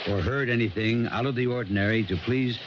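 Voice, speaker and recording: An American man playing a steady, considerate police sheriff in a 1970s radio mystery, speaking in a measured, careful, hesitant way. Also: mid-range voice, close to an everyday voice. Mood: grave appeal. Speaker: actor. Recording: noisy, dull old radio broadcast recording.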